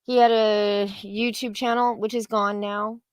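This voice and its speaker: A woman's voice: mid-range voice, little pitch movement, measured pace, clear, resonant tone, no echo. A woman co-hosting a casual live-stream, giving opinionated commentary in a wry, sarcastic manner, often trailing off in fragments. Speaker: co-host of a comics commentary show.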